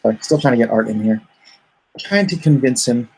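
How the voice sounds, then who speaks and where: A man's voice: medium pitch, lively intonation, a little fast, gravelly, noisy background. A man hosting a livestream, chatting casually and reading viewer comments aloud in a rambling, joking way. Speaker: comic artist hosting a livestream.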